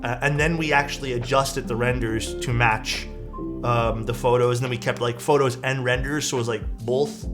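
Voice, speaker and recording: A young man, chatting casually as a livestream host, excitable and rambling with lots of "like" and "dude". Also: mid-range voice, normal speed. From Canada. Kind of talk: casual livestream chat, reading chat messages. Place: his room at home. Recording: speech over background music. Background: background music.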